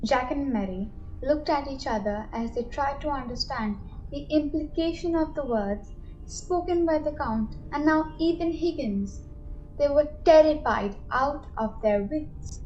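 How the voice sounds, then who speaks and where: A woman's voice: high voice, measured pace, darkish tone, highs cut off, slight background noise. A woman reading scripted narration for an amateur radio play.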